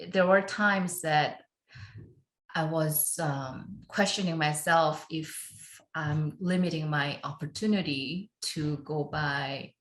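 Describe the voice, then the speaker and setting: Woman from Korea, slow relaxed talk, lower pitch, laughs often, echoey room. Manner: unhurried, chatty, laughs often, many fillers. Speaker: film composer, guest. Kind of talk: podcast interview.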